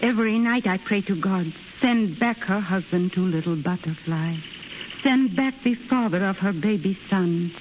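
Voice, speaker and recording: An actress in a 1930s radio drama playing a loyal, doubting maid, grave and blunt in broken English. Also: female voice, mid-range voice, normal speed, natural voice. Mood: sorrowful. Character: loyal Japanese maidservant, sombre, doubting, blunt. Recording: muffled hissy 1930s radio broadcast.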